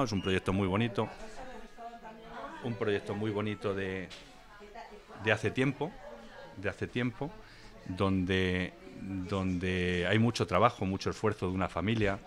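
A man with a very deep voice talks about wine in a casual podcast interview. His delivery is knowledgeable but rambling, full of small fillers and restarts. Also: a bit bright. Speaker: wine expert guest. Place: wine venue indoors.

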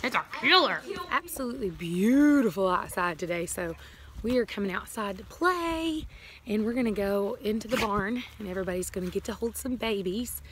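A woman's voice: mid-range voice, lively intonation, moderate pace, a bit bright, slight background noise. A woman chatting casually while doing farm chores, rambling and laughing often, recorded outdoors and in a barn on a handheld phone. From Tennessee, USA. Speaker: homestead vlogger.

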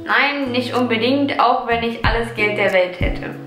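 High-voiced german girl in her 20s chatting on her couch, kinda roomy sound with music under it. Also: a little slow. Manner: chatty, reflective, searching for words. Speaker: vlogger answering viewer questions. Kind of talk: casual Q&A vlog. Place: living room, seated on sofa. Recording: roomy echo with background music.

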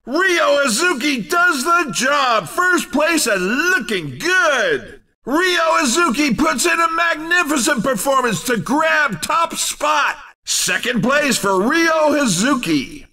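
A man voicing an excitable, showy race commentator in a video game, with theatrical, emphatic exclamations. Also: very high voice, distant mic, exaggerated voice. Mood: triumphant, shouting, then upbeat. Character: excitable male arcade racing game announcer, showy and quick to tease.

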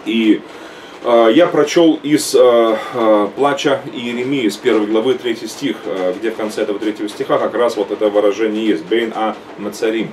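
A middle-aged man gives a seminar as an archbishop, speaking slowly and stopping often to search for words. He is recorded by a webcam set back from him in a small home room. A faint background noise sits under his voice. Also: on the low side, a little mellow, far from the mic. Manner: hesitant, many drawn-out "uh" fillers. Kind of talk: teaching seminar. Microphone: webcam at desk.

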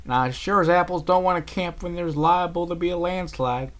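Una pony di campagna decisa e sbrigativa, interpretata da un uomo, con una cadenza rurale del Sud degli Stati Uniti e modi schietti. La voce è spinta in alto e sonora, come in un audiolibro amatoriale. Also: male voice, high voice, a little fast, fairly bright tone, voice pushed up. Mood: impatient. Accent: rural Southern US put-on drawl. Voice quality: folksy, blunt country manner. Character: plucky country female earth pony, blunt and no-nonsense.

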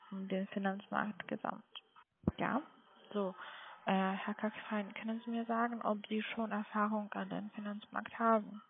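A woman with a foreign accent in German making a polite, halting sales call as a non-native speaker. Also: mid-range voice, vocal fry, a little hiss or hum. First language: not German. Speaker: telemarketing caller for a trading firm. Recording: tinny phone line.

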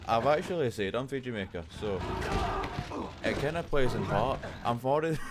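A young man streaming a video game, chatting casually and mocking his own play with frequent laughs, recorded at home on a desk mic. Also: mid-range voice. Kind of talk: casual live commentary over gameplay. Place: home room. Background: game music.

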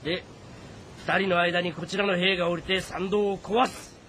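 A man playing a bold, rough mountain rebel leader with a blunt, confident delivery. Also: high-pitched, level tone, moderate pace, fairly bright tone, slight background noise. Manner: blunt, assertive. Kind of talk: dramatic audio drama acting.

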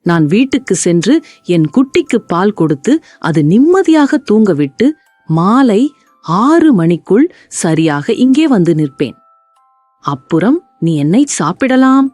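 A woman voicing an honest, gentle mother cow in a children's story, speaking earnestly and politely. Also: mid-range voice, very animated, a little slow, no echo, soft background music. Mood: pleading.

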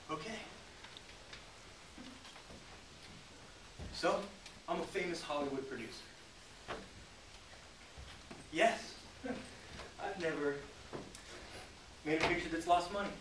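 An actor performing a stage monologue as a boastful, self-pitying Hollywood producer, theatrical and halting in delivery. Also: male voice, a little high, close to an everyday voice. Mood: smug, confiding. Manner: theatrical, halting, swinging from hushed to shouting. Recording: roomy, distant mic, loud background noise.

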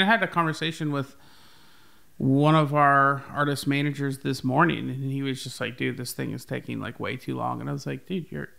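Bright, gravelly young man, earnest. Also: mid-range voice. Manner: earnest, filler-heavy with "you know". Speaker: podcast co-host, label runner. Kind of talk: casual conversation. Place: home studio room. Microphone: boom-mounted studio mic.

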